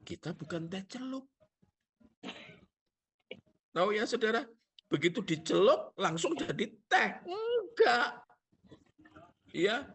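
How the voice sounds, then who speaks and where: A man's voice: high-pitched, big pitch swings, takes it slowly, creaky, long pauses, a bit bright, breathy edge. A male pastor with a Javanese accent preaching a sermon, asking the congregation rhetorical questions and joking.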